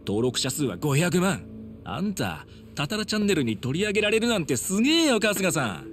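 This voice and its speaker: An actor playing a cocky, mocking young punk livestreamer with slangy, taunting speech in a video game. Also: male voice, fairly high pitch, huge pitch swings, a little fast, a bit bright, a little hiss or hum, heavily acted. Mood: smug. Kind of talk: dramatic video game acting.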